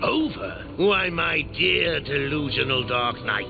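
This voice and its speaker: An actor playing a gleefully cruel male clown villain in a video game, taunting in a theatrical manner that swings from sing-song glee to shouting. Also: a little high, lively intonation, slow pace, gravelly, echoey room, highs cut off, over loud music, full character voice.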